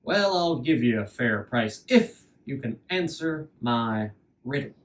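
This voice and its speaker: An old wandering fiddler from a fairy tale, as a male storyteller plays him, kindly but mysterious. He speaks slowly and with measured weight, like a keeper of riddles. The voice sits some distance from the microphone. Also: mid-range voice, animated, voice pushed down. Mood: measured, cryptic. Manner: measured, cryptic, addresses the boy as son.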